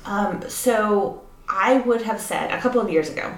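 A woman's voice: medium pitch, moderate pace. A woman co-hosting a casual podcast as an editor, explaining things in a thoughtful way. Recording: echoey room with background noise.

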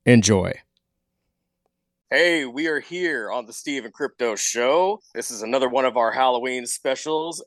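A man's voice: medium pitch, dry recording, clean studio sound. An American man hosting a podcast, casually promoting events and chatting with guests. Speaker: podcast host.

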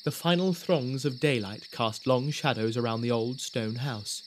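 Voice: mid-range voice, a little slow, background noise. A narrator telling a spooky audio drama story in scripted storytelling style. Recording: music behind the voice.

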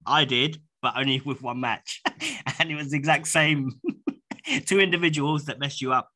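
Bright-voiced guy with a stuffy cold, rambling casual podcast chat. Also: on the high side, normal speed. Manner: rambling, many restarts and fillers. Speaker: podcast co-host.

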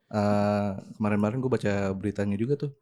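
A man's voice: fairly low pitch, steady tone, quick pace, warm tone. A man with a Jakarta Indonesian accent hosting a podcast in casual, slangy chat, jokey and prompting with questions. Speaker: podcast host.

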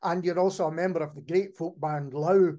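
A man's voice: on the high side, fairly even intonation, brisk, mellow. Male podcast host, conversational and appreciative, affirming what the guest says. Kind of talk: conversational interviewing.

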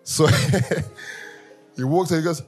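A man from Nigeria preaching a sermon, telling humorous personal stories in a lively way that rises into sing-song emphasis. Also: fairly high pitch, very slow, over loud music. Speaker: pastor.

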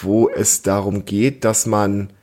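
A man's voice: lowish voice, level tone, takes it slowly, darkish tone. A man co-hosting a casual family podcast, chatting as a parent does with a child.

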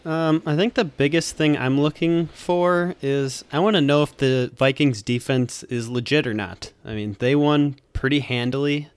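A man's voice: medium pitch, moderate pace, few pauses, clean tone, no room sound. A man co-hosting a sports podcast, casually breaking things down in an informal, detail-heavy way. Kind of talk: casual sports analysis chat.

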